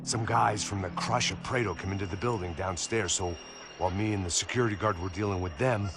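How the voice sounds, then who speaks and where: An actor playing a washed-up middle-aged American ex-cop, narrating in a weary, self-deprecating, hard-boiled noir manner. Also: male voice, on the low side, a little fast, noisy background, lightly acted. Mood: earnest, pleading. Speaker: protagonist and narrator. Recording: loud music behind the voice.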